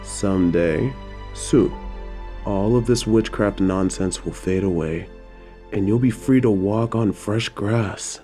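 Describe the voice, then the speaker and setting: Man playing a low, mellow, gentle village tanner. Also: takes it slowly, faint music behind. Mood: soothing, affectionate. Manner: tender, soothing, old-fashioned phrasing. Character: gentle, apologetic old-time male leather tanner, doting caretaker. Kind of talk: romantic audio drama acting.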